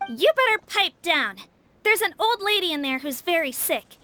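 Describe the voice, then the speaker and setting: A woman's voice: very high-pitched, animated, normal speed, sharp tone, no echo, exaggerated voice. An actress voicing a short, tough young female guard who talks in a cocky, tough-talking way. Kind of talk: video game voice acting.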